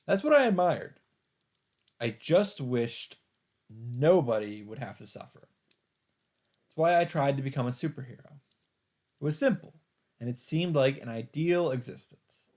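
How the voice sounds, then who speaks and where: A man's voice: mid-range voice, lively intonation, a little slow, long pauses, a little mellow. A man hosting a let's play, reading visual novel narration aloud and adding his own commentary. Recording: game music behind the voice.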